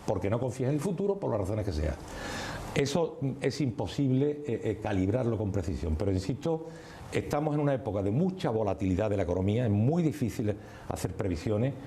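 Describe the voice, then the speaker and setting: A man with a mellow, warm voice speaks on the economy in an interview. He is thoughtful and explanatory, pausing on little fillers. A faint hiss sits under his voice. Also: fairly low pitch, big pitch swings.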